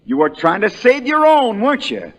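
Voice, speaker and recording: Folksy American lawyer, swooping pitch. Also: male voice, huge pitch swings, moderate pace, exaggerated voice. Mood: fierce, accusing. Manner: drawling folksy understatement that builds into hammering accusation. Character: shrewd, folksy country defense lawyer, relentless in cross-examination. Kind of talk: 1940s radio drama acting.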